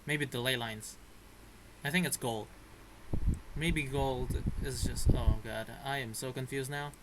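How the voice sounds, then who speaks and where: Halting male gamer, noisy recording. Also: medium pitch. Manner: halting, thinking aloud. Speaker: gaming streamer. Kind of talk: casual game reaction commentary.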